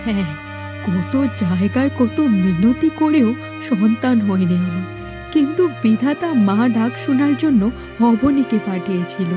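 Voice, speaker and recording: A woman's voice: on the high side, expressive, unhurried, music in the background. A woman playing a caring, worried village mother with a plaintive, melodramatic cartoon delivery. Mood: tender, wistful. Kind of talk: dramatic cartoon dubbing.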